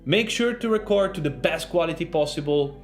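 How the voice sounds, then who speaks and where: A man with a fairly high voice and non-native English explains sound design. He is informal and jokes at his own expense. Music plays faintly behind him. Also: expressive, moderate pace. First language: not English. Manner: joking, self-deprecating, informal. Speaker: sound designer, tutorial host. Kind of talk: informal video tutorial.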